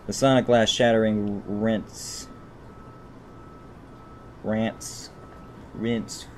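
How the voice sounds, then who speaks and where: A man's voice: little pitch movement, extremely slow. A man streaming a visual novel, reading it aloud with profane, exasperated asides and stumbling over words. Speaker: gaming streamer. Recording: rumbly background noise.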